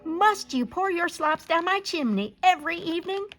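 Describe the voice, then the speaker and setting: A woman's voice: very high-pitched, animated, normal speed, fairly bright tone, faint music behind, pitched above the performer's own voice. A woman voicing a tiny indignant fairy man in a storybook, complaining in a plaintive, emphatic way. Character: wee little fairy man, small, indignant but neighborly.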